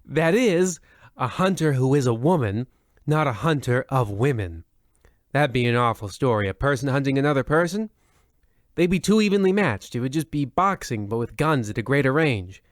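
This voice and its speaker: An actor playing a self-important, deluded radio anthology host, delivering a pompous, wryly rambling monologue in old-time style. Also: male voice, mid-range voice, expressive, normal speed, no echo, studio quality, lightly acted. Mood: wry, animated.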